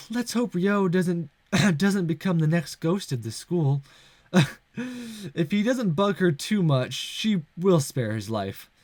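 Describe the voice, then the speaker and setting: Animated, higher-pitched man doing let's play commentary. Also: slow, dry recording. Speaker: YouTube let's play host. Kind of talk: casual let's play commentary, reading visual novel text aloud. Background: game music.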